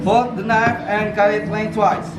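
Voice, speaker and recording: A man's voice: sits high, level tone, measured pace, very noisy. A man teaching a cooking class, speaking in simple, clipped English with patient instructions. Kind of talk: giving cooking instructions to a group. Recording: echoey room with music and crowd chatter.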